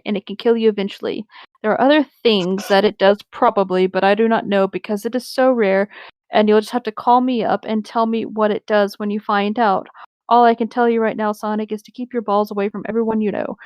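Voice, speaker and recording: A woman's voice: mid-range voice, darkish tone, no room sound. Woman reading aloud, dry close sound. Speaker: co-host reading fan fiction aloud. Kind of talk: casual comedic group read-along.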